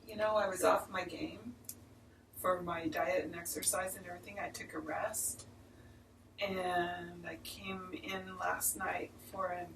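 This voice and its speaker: Soft-spoken woman with a gravelly edge. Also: fairly low pitch. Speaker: class participant. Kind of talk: sharing a personal experience. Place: clinic room. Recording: roomy, distant mic, faint noise.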